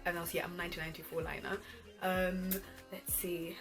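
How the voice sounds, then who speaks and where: A woman's voice: on the low side, little pitch movement, brisk. A 21-year-old woman from Ghana who later moved to England, chatting casually and conversationally as a vlog host. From Ghana, later England from age twelve. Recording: background music under voice. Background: R&B pop music.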